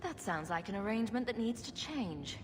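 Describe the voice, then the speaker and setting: An actress playing a confident, dryly teasing young heroine in a video game cutscene. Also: female voice, mid-range voice, animated, moderate pace, background noise, lightly acted. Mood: wry, calm. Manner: dry, teasing, self-assured.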